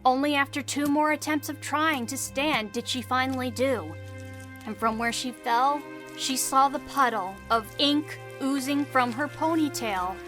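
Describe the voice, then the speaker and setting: A woman reading scripted storybook narration for an audio drama in an expressive, dramatic storytelling manner. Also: high-pitched, measured pace. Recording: music behind the voice.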